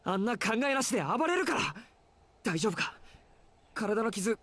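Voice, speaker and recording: A woman's voice: a little high, very animated, quick pace, a bit bright. A woman voicing a worried, scolding character in a Japanese visual novel. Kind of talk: Japanese game voice acting.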